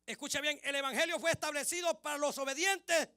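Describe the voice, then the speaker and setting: A pastor from Honduras preaching with a high, bright voice that cuts through. He stresses and repeats key phrases, and the sound is dry and close. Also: brisk, dry recording. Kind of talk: preaching sermon in Spanish.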